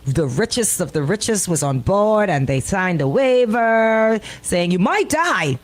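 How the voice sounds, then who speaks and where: A woman's voice: medium pitch, very animated, measured pace. A woman co-hosting radio banter, opinionated and emphatic, hammering points home with repeated phrases and rhetorical questions. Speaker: radio show co-host.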